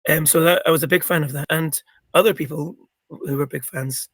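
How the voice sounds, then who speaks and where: A middle-aged man talks as a guest in a casual interview, his voice croaky and gravelly with a husky edge. He sits a little higher in pitch than most men and rambles with plenty of ums and restarts. The sound is dry and close, with no room echo. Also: steady tone, a little fast, fairly bright tone.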